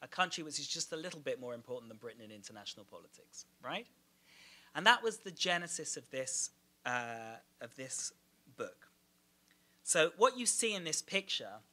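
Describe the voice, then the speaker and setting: Bright-voiced Israeli man lecturing. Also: mid-range voice. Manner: emphatic, gestures a lot, rhetorical questions. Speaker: guest lecturer. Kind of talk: academic lecture with slides. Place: small lecture room at a podium. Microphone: podium microphone.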